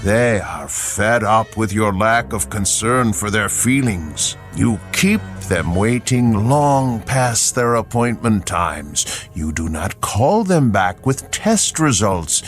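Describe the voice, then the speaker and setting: An actor playing a calm, mysterious messenger of reckoning in a radio drama, speaking formally and deliberately. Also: male voice, lowish voice, soft background music. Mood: stern, composed.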